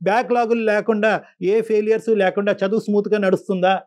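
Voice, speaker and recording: A man's voice: sits high, fairly even intonation, quick pace, darkish tone. A man counselling callers as a show host, earnest and admonishing, repeating points for emphasis. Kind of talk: phone-in counselling talk.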